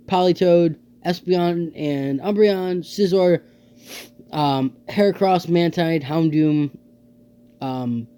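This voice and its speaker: A man's voice: sits high, dark tone, smooth. A young man with a slightly scratchy, dry throat, chatting casually as he ranks creatures, with many ums and offhand opinions. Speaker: YouTuber ranking creatures in a tier list. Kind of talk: casual unscripted commentary.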